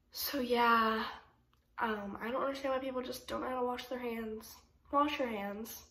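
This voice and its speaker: Teen girl w a head cold rambling to her phone cam in her room, kinda high voice, echoey. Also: a bit bright. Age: teens. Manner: chatty, rambling, lots of "like" and "um". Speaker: vlogger. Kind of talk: casual vlog chat to camera. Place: bedroom at home, indoors. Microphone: phone camera at arm's length.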